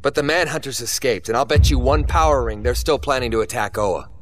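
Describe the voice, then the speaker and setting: A man's voice: mid-range voice, brisk, a little hiss or hum, close to an everyday voice. A man voicing a cocky, loyal young human superhero in a video game, casual and wisecracking. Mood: wry.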